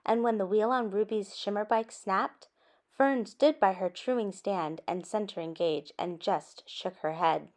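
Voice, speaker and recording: A woman reading a children's story aloud in an expressive way, with animated asides addressed to young listeners. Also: medium pitch. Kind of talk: expressive picture-book read-aloud.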